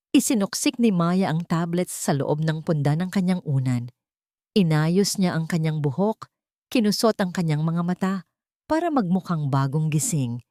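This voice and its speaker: Woman narrator, lowish voice, scripted dramatic storytelling, dry close recording. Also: dark tone, no room sound.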